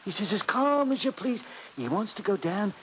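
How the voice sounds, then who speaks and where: An actor playing a superstitious, rambling household servant in 1940s radio horror, deferential, folksy and dithering. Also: male voice, high-pitched, sing-song, moderate pace, fairly bright tone, highs cut off. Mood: grumbling, rambling. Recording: faintly noisy 1940s radio recording.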